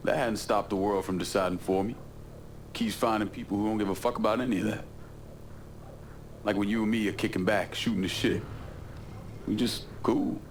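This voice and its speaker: An actor playing a tough young man with a relaxed, plain-spoken, streetwise manner in a video game cutscene. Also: on the low side, normal speed, pauses often, slight background noise, lightly acted. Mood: reassuring. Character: laid-back, tough young male outsider, loyal friend.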